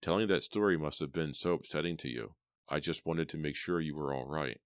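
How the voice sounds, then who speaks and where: A man voicing a calm, menacing young man who speaks softly and slowly. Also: a bass voice, no echo, clean studio sound. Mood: concerned. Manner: soft, slow, cold.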